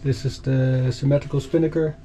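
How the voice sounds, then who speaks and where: A man's voice: medium pitch, level tone, brisk, warm tone. A man giving an informal explanatory walkaround as a boat owner, with somewhat hesitant, pieced-together phrasing. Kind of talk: informal explanatory tour commentary. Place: aboard a sailing catamaran in a marina, in bow compartments and on deck. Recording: clear outdoor and engine-like background noise.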